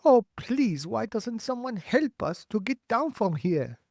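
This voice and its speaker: A man's voice: extremely high pitch, very animated, a little slow, gravelly, darkish tone, no room sound, studio quality, voice pushed up, full character voice. A man voicing a giddy, pleading female giant spider in a storybook, with a plaintive delivery. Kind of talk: storybook character acting.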